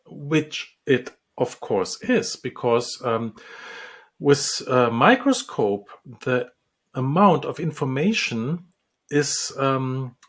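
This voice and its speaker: Slow, hesitant man. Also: mid-range voice, lively intonation. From Czech Republic. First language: Czech. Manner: hesitant, many ums and restarts. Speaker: dentist and lecturer. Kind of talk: interview answers.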